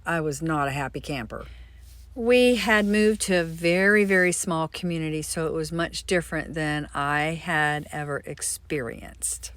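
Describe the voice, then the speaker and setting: A woman's voice: lowish voice, a bit bright, dry recording. An older woman from Florida, a doll craft vlogger, telling her life story in a chatty, friendly way. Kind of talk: casual personal storytelling.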